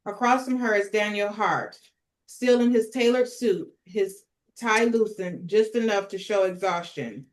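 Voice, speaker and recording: A woman's voice: mid-range voice, a little slow, poor audio. A woman narrating screenplay stage directions as she leads a table read.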